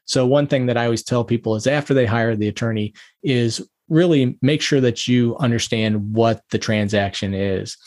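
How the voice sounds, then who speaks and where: A man's voice: mid-range voice, normal speed, hardly any pauses, a little mellow. A man speaking as a guest attorney in a podcast interview, laying out advice methodically with strings of questions. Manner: methodical, lists questions one after another. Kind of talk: interview answers, advising.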